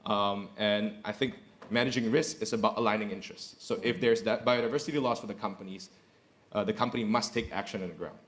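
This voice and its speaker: A man's voice: fairly low pitch, fairly even intonation, fast talker, a bit bright. A man, speaking earnestly as a corporate executive on a panel and repeating phrases for emphasis. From Indonesia. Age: 30s. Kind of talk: panel discussion.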